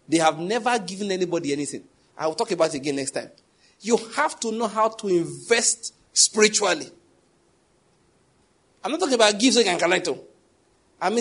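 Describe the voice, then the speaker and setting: A man's voice: high voice, husky. A young adult man preaching a sermon, emphatic, repeating key phrases and putting questions to the congregation. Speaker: pastor.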